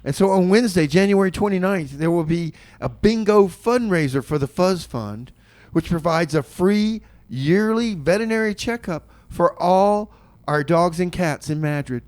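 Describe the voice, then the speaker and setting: A man hosting a community radio music show with casual, rambling, tongue-in-cheek chat, often halting and repeating his words. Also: high voice, animated, darkish tone, dry recording.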